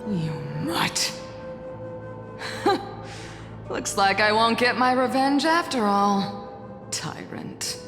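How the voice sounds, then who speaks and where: A proud, bitter fighter from a video game, full of scorn and defiance. The delivery is slow and dramatic with sweeping pitch, echoing over background music. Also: female voice, lively intonation. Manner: dramatic, scornful, swinging from shouts to hisses. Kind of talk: scripted video game voice acting. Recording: echoey hall reverb under music.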